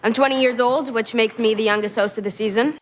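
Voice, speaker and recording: A young woman in her twenties introducing herself in a short recorded dialogue clip, with a bright, bouncy delivery. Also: fairly high pitch, steady tone, quick pace, a little hiss or hum. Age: 20s. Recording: lo-fi clip with loud synth music.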